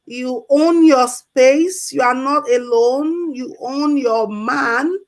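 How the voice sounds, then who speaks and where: A woman's voice: high voice, slow pace. A woman on a call-in talk show giving health advice in an emphatic way, repeating her key points. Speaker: health expert guest.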